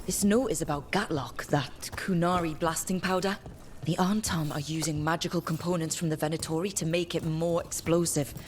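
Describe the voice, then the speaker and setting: A woman playing a calm, determined young adventurer leading a party in a video game, with game sound effects behind her. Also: deep voice, moderate pace, a little hiss or hum, close to an everyday voice. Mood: matter-of-fact.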